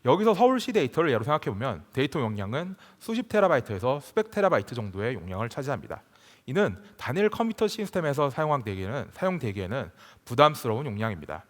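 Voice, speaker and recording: Male conference speaker, mid-pitched with lively intonation, formal scripted tech presentation, big hall reverb. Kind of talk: prepared technical presentation.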